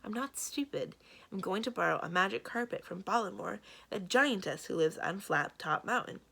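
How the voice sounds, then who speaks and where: A woman voicing a headstrong, capable young princess in a storybook, brisk and self-assured, quick to retort. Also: medium pitch, expressive, vocal fry, sharp tone, breathy edge, full character voice. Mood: impatient, snappy. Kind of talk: storybook read-aloud.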